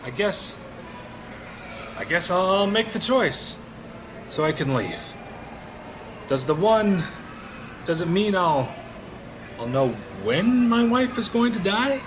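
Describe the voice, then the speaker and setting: A man acting in an audio play as an anxious, indecisive everyman customer with a halting, uncertain delivery. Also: sits high, big pitch swings, heavily acted. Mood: anxious, resigned. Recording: clearly noisy background.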